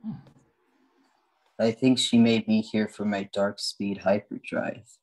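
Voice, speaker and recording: A man playing a matter-of-fact robot bartender and inventor in a radio spoof, delivered deadpan. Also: fairly low pitch, slow pace, low-quality recording. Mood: earnest, deadpan.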